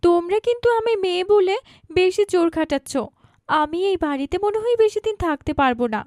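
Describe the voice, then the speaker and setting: A woman's voice: extremely high pitch, animated, brisk, a little mellow, no room sound, clean studio sound. A woman voicing a headstrong, argumentative eighteen-year-old daughter in a cartoon. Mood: indignant. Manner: argumentative, insistent. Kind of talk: cartoon dialogue acting.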